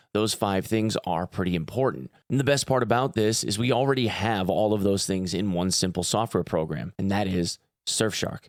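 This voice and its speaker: A man's voice: lowish voice, no room sound, clean studio sound. A man hosting a gaming news video in a chatty, informal way with playful emphasis. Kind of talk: casual news commentary with sponsor read.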